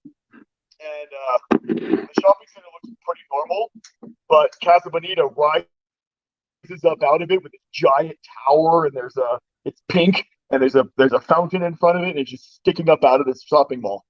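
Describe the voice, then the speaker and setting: Give me a rambling, joking man with a slightly high voice on a dead-dry mic. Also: moderate pace, dry recording, lo-fi sound. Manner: jokey, rambling, laughs often. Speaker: streamer, host of a chat. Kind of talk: casual chat.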